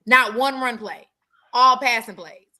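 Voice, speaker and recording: Bright, emphatic woman talking football. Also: fairly high pitch, steady tone, unhurried. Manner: emphatic, repeats points for stress. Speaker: sports show co-host. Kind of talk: casual sports talk.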